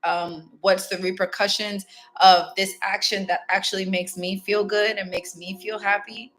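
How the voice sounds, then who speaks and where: A woman's voice: medium pitch, little pitch movement, moderate pace, fairly bright tone. A woman from Ghana hosting a casual motivational self-help talk, candid and reflective, chatting directly to her viewers. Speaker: spiritual self-help host.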